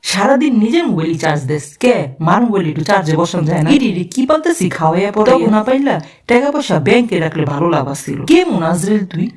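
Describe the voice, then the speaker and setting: A woman's voice: mid-range voice, big pitch swings, fast talker, soft background music. A woman voicing a hot-tempered, sarcastic mother in a cartoon, nagging her daughter. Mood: scolding. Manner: nagging, sarcastic, shouting rebukes. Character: hot-tempered scolding Bangladeshi mother, middle-aged. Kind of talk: cartoon voice acting.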